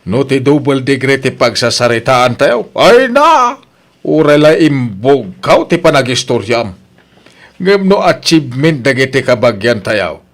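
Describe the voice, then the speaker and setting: Animated, genial family father acted by a man. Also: mid-range voice, measured pace. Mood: indignant. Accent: Ilocano. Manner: animated, interjecting. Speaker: radio drama actor. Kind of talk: Ilocano radio comedy-drama acting.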